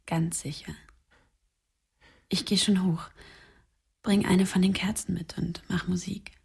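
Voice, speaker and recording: Young woman, radio drama, husky, creaky, frank, teasing, world-weary. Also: on the low side, steady tone, takes it slowly, full character voice. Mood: intimate whisper.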